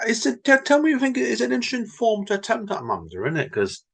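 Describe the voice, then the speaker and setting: A man co-hosting a story podcast, chatting casually and reading his own poem in a slow, reflective way. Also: very high-pitched, wildly swooping pitch, vocal fry, a bit bright, husky. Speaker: podcast co-host, poet. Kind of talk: casual chat and poetry reading.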